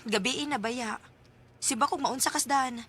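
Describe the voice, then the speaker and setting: Bright, coy young Cebuano woman. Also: fairly high pitch, unhurried, a little hiss or hum. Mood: coaxing. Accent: Cebuano Filipino. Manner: coy, giggly, coaxing. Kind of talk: radio drama acting.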